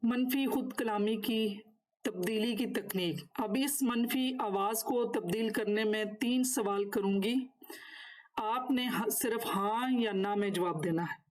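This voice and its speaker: A woman's voice: mid-range voice, takes it slowly, rough recording. A woman instructor giving a course lecture, partly reading from notes and partly explaining, in formal, instructive wording.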